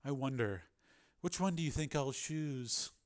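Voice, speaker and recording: A man voicing a commanding woman in an audiobook, speaking in an ominous, deliberate, teasing way. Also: medium pitch, animated, a bit bright. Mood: slow teasing.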